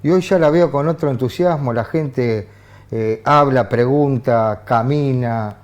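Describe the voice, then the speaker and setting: A man with an Argentine accent, a journalist guest on a TV political panel who talks in halting phrases with frequent restarts and fillers. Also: medium pitch. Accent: Argentine Spanish. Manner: hesitant, many restarts and fillers. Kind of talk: TV studio political discussion. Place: TV studio.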